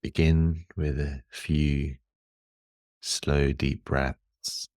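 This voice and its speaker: Man, extremely low pitch, unhurried meditation guide, soothing, measured, long pauses, dry recording. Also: little pitch movement, darkish tone, dry recording.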